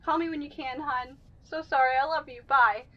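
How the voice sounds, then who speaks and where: A woman's voice: high voice, fairly even intonation, moderate pace, muffled recording, very noisy, natural voice. A woman playing a brash, affectionate reporter in a fan-made radio play. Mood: rushed, affectionate. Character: brash, affectionate female reporter missing her partner.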